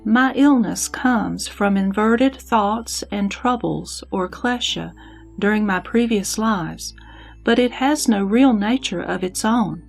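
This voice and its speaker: A woman playing a sick bodhisattva reflecting calmly and deliberately in a scripture audio drama. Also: medium pitch, vocal fry, faint music behind. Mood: calm, contemplative. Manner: contemplative, deliberate.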